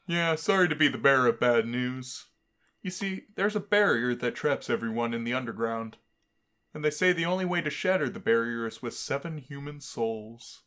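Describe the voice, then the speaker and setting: A man's voice: medium pitch, lively intonation, normal speed, bright, forceful tone. A man voicing a laid-back, joking male skeleton in a dating game, with a casual, wisecracking manner. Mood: laid-back, teasing.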